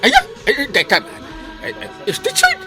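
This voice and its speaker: An actor playing a jolly, flirtatious village captain in a radio comedy drama, stammering and giggling. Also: male voice, wildly swooping pitch, moderate pace, over music, heavily acted. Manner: giggly, stammering "eh", big leaps in pitch.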